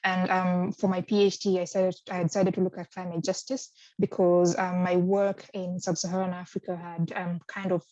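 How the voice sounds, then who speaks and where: A woman from Kenya in her thirties answers interview questions with care, often pausing and circling back to rephrase. Her voice sits low, with a flat, mellow tone. The recording sounds dry and muffled, like a lo-fi video call. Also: no echo. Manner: hesitant, many ums and restarts. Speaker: research fellow, interviewee.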